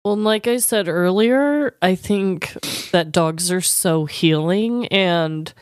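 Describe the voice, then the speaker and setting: A woman co-hosting a podcast, talking casually in a gentle, supportive, consoling manner. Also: fairly low pitch, slow, clear, resonant tone, no room sound, studio quality. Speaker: podcast co-host.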